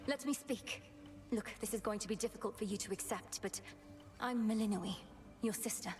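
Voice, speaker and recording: A woman's voice: medium pitch, normal speed, faint music behind, natural voice. Woman acting a solemn young underworld witch princess. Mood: urgent, pleading. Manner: solemn, formal, incantatory. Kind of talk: video game voice acting.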